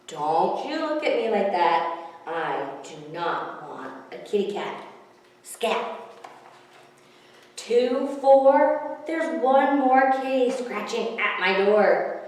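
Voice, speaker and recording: A woman's voice: medium pitch, distant mic. Woman reading as a grumpy old man, very echoey. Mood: firm, exasperated, then exasperated, worried. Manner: exclamatory, rhyming, exasperated. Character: grumpy, soft-hearted old man refusing stray cats.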